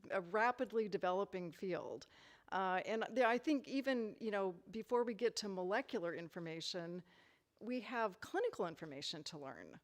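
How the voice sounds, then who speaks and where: A woman lecturer in a hall, answering audience questions thoughtfully with lots of "you know" fillers and restarts. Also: mid-range voice. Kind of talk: conference Q&A answers.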